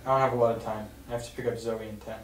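A man's voice: lowish voice, little pitch movement, racing, close to an everyday voice. Young man as earnest teenage boy, echoey room. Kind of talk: table-read acting, naturalistic dialogue. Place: home dining room, around a table. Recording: roomy, distant mic, faint noise.

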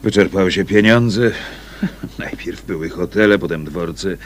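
Deep-voiced, slow, drunken down-and-out man. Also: slight background noise. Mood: despairing, bitter. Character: drunken, broken homeless man craving whisky. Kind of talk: radio drama acting.

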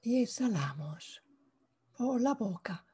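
A woman leading a guided meditation in a soft, breathy voice, calm and hushed, pausing between short phrases. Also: on the high side, slight background noise. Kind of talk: guided meditation, slow spoken instructions.

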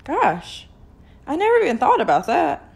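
A woman reading aloud, voicing a steady, protective young Southern girl in a storybook. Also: high-pitched, normal speed, vocal fry, pitched above the performer's own voice, heavily acted. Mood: earnest.

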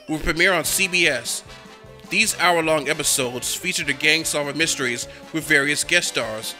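A man's voice: on the high side, bright, forceful tone. A man narrating a cartoon history documentary in a scripted, enthusiastic fan's manner. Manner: enthusiastic, fan-like.

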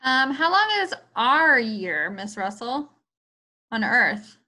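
A woman's voice: fairly high pitch, very animated, slow pace, sharp tone. An American woman chatting casually for children as a read-aloud co-host on a video call.

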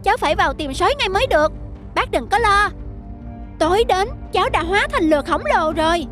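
A woman's voice: very high-pitched, animated, a little fast, a bit bright. A woman voicing a naive, boastful little boy donkey in a cartoon, childlike, with music behind. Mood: cocky excitement. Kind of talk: cartoon voice acting.

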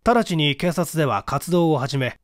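Casting: male reader as polite, methodical detective for mystery audiobook; higher-pitched male voice, animated intonation, dry close sound. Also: no room sound, natural voice. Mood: serious, explanatory. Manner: polite, measured, explanatory. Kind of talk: dramatic audiobook reading of a mystery.

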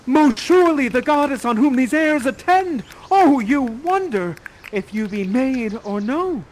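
An overemotional young prince in love, acted by a male storyteller. He declaims Shakespeare melodramatically in a high voice, stretching words and swooping widely. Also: animated, unhurried, a little mellow, slight background noise, poor audio. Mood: smitten.

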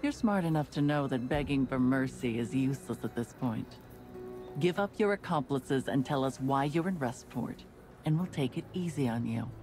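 A woman voicing a cold, ruthless young gang leader who interrogates captives in a slow, measured, commanding way. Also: extremely low pitch, animated. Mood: menacing. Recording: game voice with faint music.

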